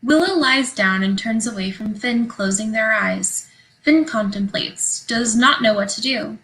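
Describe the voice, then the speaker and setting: A woman narrates a play's stage directions from the script. Her voice is bright and crisp-edged, sitting slightly high. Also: a little slow, rough recording.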